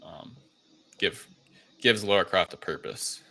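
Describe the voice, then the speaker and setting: A man with a low-pitched voice that still has a bright edge. He co-hosts a casual film discussion and rambles through it, unsure of his phrasing as he goes. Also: takes it slowly, vocal fry. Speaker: podcast co-host recapping a film.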